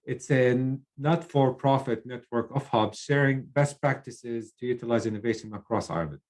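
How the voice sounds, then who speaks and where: A middle-aged man from Lebanon, a program manager opening a webinar from a child's bedroom on a video call, talking haltingly with many fillers and restarts. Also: medium pitch, darkish tone.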